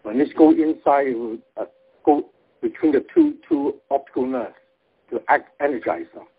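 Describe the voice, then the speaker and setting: A man's voice: fairly high pitch, huge pitch swings, normal speed, creaky, slightly rough. A man explaining energy healing to a group in plain, simple phrasing, heard over a phone-line webinar.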